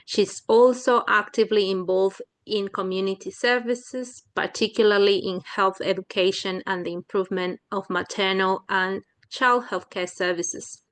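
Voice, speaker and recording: Woman facilitator, reading speaker bios, warm and even, video call. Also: mid-range voice, normal speed, hardly any pauses. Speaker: session facilitator. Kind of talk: introducing speakers.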